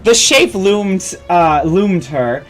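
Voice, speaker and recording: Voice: medium pitch, animated, takes it slowly. Theatrical comedic story reader, mid-range voice. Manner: theatrical, stumbles and self-corrects. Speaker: fan fiction reader and host. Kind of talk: expressive comedic story reading with asides. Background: music.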